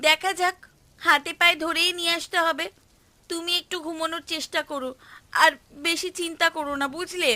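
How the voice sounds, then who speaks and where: A woman voicing sharp-tongued village women in a Bengali cartoon, with a Bengali accent. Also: extremely high pitch, flat delivery, a little fast, dry recording, heavily acted. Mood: concerned. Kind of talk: cartoon voice acting. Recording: music behind the voice.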